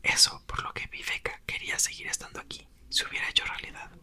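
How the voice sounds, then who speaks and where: A man softly whispering a novel aloud in an ASMR reading, close to the mic. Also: fast talker. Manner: soft whisper, occasional self-corrections. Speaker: ASMR reader.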